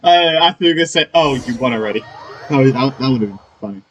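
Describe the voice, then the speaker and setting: A man's voice: medium pitch, lively intonation, fast talker, a bit bright, slight background noise. A young man giving casual let's-play commentary, rambling and often trailing off. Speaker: gaming YouTuber. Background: game music.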